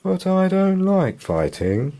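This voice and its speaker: A man voicing a gentle, lonely, friendly storybook dragon with a mild, unhurried manner. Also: high-pitched, very animated, pitched above the performer's own voice, full character voice. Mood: worried.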